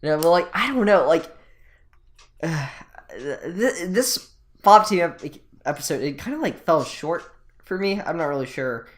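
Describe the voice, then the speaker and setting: A man's voice: on the high side, animated, unhurried. A young man co-hosting an anime podcast, chatting casually with hesitant, repetitive phrasing. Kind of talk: casual chat about anime.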